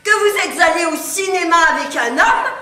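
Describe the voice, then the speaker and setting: A fussy, distracted English housewife, played by a woman in a live stage play. She speaks with a very high, bright voice and a lively, swooping tone. The recording carries hall echo. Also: moderate pace, exaggerated voice. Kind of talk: amateur stage acting. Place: theatre stage before an audience. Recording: echoey hall, faint noise.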